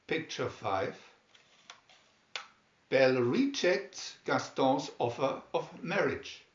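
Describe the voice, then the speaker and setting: A man reading scripted storybook captions in a deliberate, announcing manner. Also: mid-range voice, big pitch swings. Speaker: narrator reading a picture-reel booklet. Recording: dull, roomy sound.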